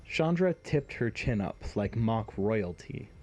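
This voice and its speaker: A man's voice: lowish voice, animated, slow, a little mellow, lo-fi sound. A man narrating a scripted fantasy story in an audio drama.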